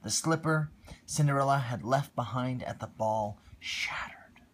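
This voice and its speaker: A man reading a bedtime story aloud to his child on a phone livestream, expressive with quiet asides. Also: mid-range voice, measured pace, a little hiss or hum.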